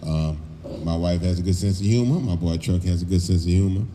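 A man's voice: low-pitched, a little fast, warm tone, slight background noise. Laid-back man, echoey room. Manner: laid-back, profane, storytelling. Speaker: podcast host. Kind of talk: casual podcast chat.

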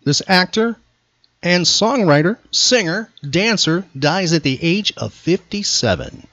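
A man's voice: high-pitched, animated, unhurried, a bit bright, no room sound. A man hosting a podcast, switching between quiz questions and casual chat. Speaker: podcast host. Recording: slightly dull audio, music behind. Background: background music.

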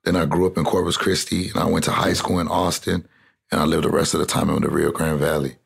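A young man from South Texas with a deep, gravelly voice talking in a loose, rambling way. His speech is peppered with fillers and swearing, and the husky grain never lets up. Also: level tone, quick pace. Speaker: interview guest, rapper. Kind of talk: casual interview talk.

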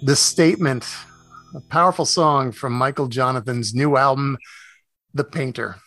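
A man hosting a radio interview in a casual, halting, appreciative way. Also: medium pitch, fairly bright tone, no room sound, soft background music, poor audio. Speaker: radio host interviewing a musician.

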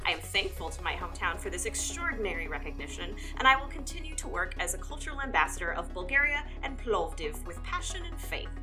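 A woman co-hosting a podcast in animated, conversational style, with a self-deprecating way of talking. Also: lowish voice, fast, hardly any pauses, music in the background. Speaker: podcast co-host. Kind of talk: casual panel chat and news reading.

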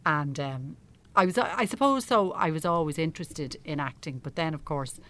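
A woman's voice: deep voice, animated, normal speed, a bit bright. A middle-aged Irish woman chatting casually as an interview guest, telling anecdotes in a self-deprecating way. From Ireland. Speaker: interview guest, amateur actor.

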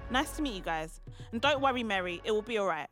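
A woman's voice: medium pitch, expressive, fast, fairly bright tone, close to an everyday voice. A woman playing a kindly, reassuring dog walker who helps out in a modern audio play. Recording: music bed under the dialogue.

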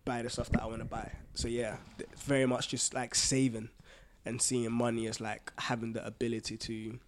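A man co-hosting a casual podcast, thinking aloud in a reflective, halting way with informal slang. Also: medium pitch, flat delivery, a little hiss or hum. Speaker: podcast co-host. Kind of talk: casual conversational podcast chat.

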